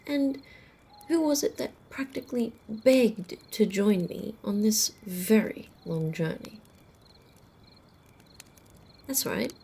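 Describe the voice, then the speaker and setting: A woman playing a haughty, sardonic female vampire in an ASMR roleplay, speaking softly and slowly, often slipping into a whisper, with a condescending, teasing drawl. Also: medium pitch, creaky, breathy edge, heavily acted. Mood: sarcastic. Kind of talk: ASMR roleplay acting, close and intimate.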